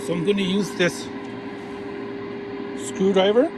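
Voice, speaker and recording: A man casually narrating a hands-on radio repair tutorial while he works, relaxed and restarting his phrases often. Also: high-pitched, a little slow. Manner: relaxed, talks while working, frequent restarts. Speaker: vintage radio repair hobbyist. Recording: audible background noise.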